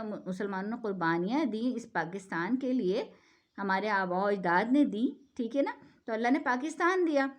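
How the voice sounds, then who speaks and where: A woman from Pakistan giving an earnest explanatory talk, often asking rhetorical questions and checking in with "theek hai". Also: a little high. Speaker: speaker giving a talk on the national language.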